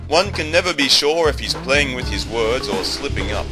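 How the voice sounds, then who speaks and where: A man reading scripted documentary narration in a measured, wry way. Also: medium pitch, lively intonation. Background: music.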